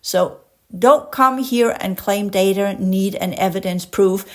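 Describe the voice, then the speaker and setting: A woman's voice: medium pitch, slow pace. A woman with a Danish accent hosts a podcast in English, her second language. She reads an article aloud point by point, then drifts into passionate tangents of her own. Long technical words sometimes trip her up. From Denmark. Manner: passionate, digresses into rants; stumbles over long words. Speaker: podcast host. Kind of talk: reading an article aloud with commentary.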